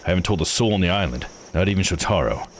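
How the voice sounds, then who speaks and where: Man playing a deep, blunt, gruff guy. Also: animated, racing, a little hiss or hum. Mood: stern, accusing. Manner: blunt, plain-spoken, probing.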